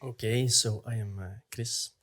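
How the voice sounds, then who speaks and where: A man from Belgium, a museum educator, introducing himself on a panel in a relaxed, self-mocking way with many fillers. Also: mid-range voice, measured pace. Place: conference hall.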